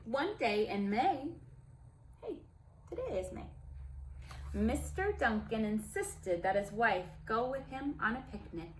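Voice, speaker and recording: A woman reading a picture book aloud to young children, expressive and gentle, with friendly asides to her listeners. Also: a little high, slow, slight background noise. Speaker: storyteller and teacher for young children.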